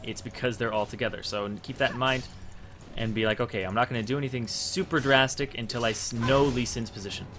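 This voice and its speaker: A man's voice: mid-range voice, fairly even intonation, fast. A young man giving casual, explanatory gameplay commentary, thinking aloud as he plays. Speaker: gamer making a strategy guide. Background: faint game music and sounds.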